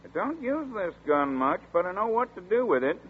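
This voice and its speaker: An actor playing a chatty small-town sheriff's deputy who talks in a folksy rural dialect, in 1940s radio acting. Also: male voice, sits high, very animated, a little fast. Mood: gruff. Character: folksy drawling small-town male deputy, middle-aged. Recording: tinny scratchy 1940s radio broadcast.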